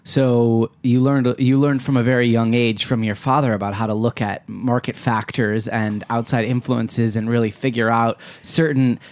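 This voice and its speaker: A man's voice: medium pitch, flat delivery, moderate pace, hardly any pauses, a little mellow, no echo. A man hosting an interview show, asking long, rambling questions with hesitations. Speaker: podcast host.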